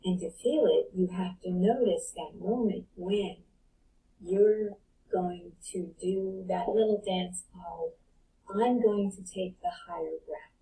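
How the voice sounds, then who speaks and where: Woman guest coach: very dark, mellow voice, emphatic and repetitive, heard from a distance on the mic. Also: lowish voice, takes it slowly. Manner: emphatic, builds points by repetition. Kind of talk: reflective spiritual talk. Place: online live audio room.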